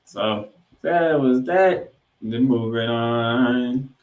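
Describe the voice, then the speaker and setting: A man's voice: medium pitch, extremely slow, narrow, tinny audio. Roomy-sounding man hosting a sports podcast. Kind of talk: sports recap commentary with stats. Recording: roomy, echoing room sound.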